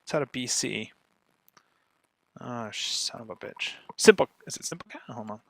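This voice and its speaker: A man's voice: medium pitch, expressive, normal speed, creaky, bright, forceful tone, no echo. A man speaking as a guest expert, explaining things casually and emphatically, often checking in with the listener. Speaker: guest speaker, podcast marketer.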